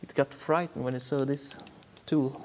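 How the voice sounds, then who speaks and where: A man from Sweden with a Swedish accent, chatting haltingly with many ums and dry jokes while repairing a car part. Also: mid-range voice, fairly even intonation, in a large hall, a little hiss or hum. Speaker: DIY car repair vlogger. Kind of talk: casual workshop commentary.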